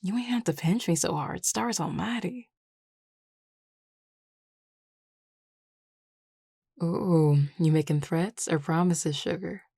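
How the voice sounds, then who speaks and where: Flirty Southern US werewolf played by a woman, low-pitched, drawling and affectionate, close dry sound, intimate audio roleplay. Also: normal speed, creaky, dark tone, slightly rough, dry recording. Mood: playful, teasing. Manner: drawling, teasing, sighing, casual and affectionate. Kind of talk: intimate audio roleplay, one-sided conversation.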